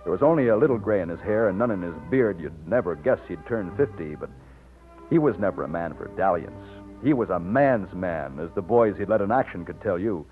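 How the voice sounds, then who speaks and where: Low-voiced, folksy Texan aide. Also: male voice, animated, a little fast, narrow, tinny audio, soft background music. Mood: reminiscing. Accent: American. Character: loyal, plainspoken young Texan aide recounting his past. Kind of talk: old-time radio drama narration and dialogue. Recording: band-limited old radio recording.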